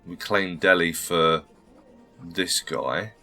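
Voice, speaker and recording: A low-voiced man talks through a strategy game in a courteous, rambling way, slightly under the weather. His tone is bright and forceful. Soft music plays underneath. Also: steady tone, slow. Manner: polite, formal greetings, thinking aloud. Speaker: gaming channel host. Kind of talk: casual let's-play commentary.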